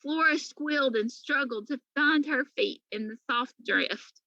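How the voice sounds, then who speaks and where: A woman reading a children's story aloud in an animated, emphatic way, like a teacher to pupils. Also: high voice, measured pace, no room sound, highs cut off.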